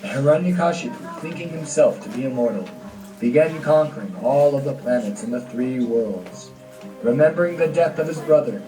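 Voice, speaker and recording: Male storyteller over music, distant. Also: mid-range voice. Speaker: narrator. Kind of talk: scripted narration of a devotional puppet show. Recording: roomy, distant mic, music behind.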